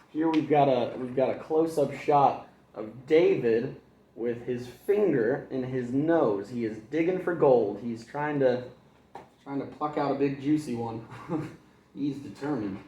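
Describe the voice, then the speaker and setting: A male kindergarten teacher reads a picture book aloud, wandering off into chatty asides and little chuckles. His pitch is mid-range for a man, and the sound bounces around a roomy, echoing space. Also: unhurried, mellow, slight background noise. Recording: echoey room.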